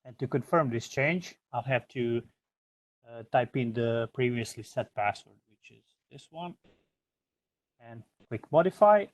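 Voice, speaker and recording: A man's voice: mid-range voice, animated, unhurried, pauses often, no echo. Man giving a hesitant, informal tech walkthrough. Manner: informal, hesitant step-by-step explaining. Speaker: product trainer. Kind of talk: software tutorial demonstration.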